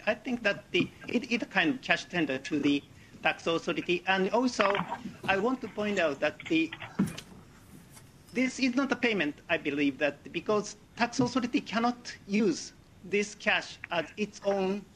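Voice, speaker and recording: Non-native man, slightly high voice, halting and searching for words, noisy call. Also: expressive, a bit bright. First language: not English. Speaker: board member in a meeting. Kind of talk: formal remote meeting discussion.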